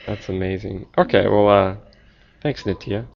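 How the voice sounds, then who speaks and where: Deep gravelly man interviewing. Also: fairly even intonation, normal speed, lo-fi sound. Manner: curious, short questions and reactions. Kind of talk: casual interview.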